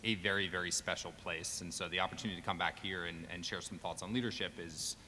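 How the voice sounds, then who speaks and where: Male panelist, 30s, very low but forceful voice, halting informal remarks, echoey hall. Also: steady tone. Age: late 30s. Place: auditorium stage. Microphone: table stand microphone. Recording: echoey hall with faint rumble.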